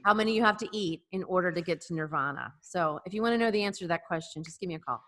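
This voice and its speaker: A woman's voice: lowish voice, rapid-fire, a bit bright. Wry, giggly woman with echoing audio. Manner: wry, giggly delivery of a comic list. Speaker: podcast co-host. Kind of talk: casual chat over video call. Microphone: video call.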